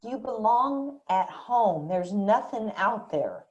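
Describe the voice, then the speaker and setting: A woman's voice: mid-range voice, animated, slow, darkish tone, highs cut off. A woman playing a protective, discouraging small-town mother of a grown daughter at a screenplay table read. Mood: soothing, firm.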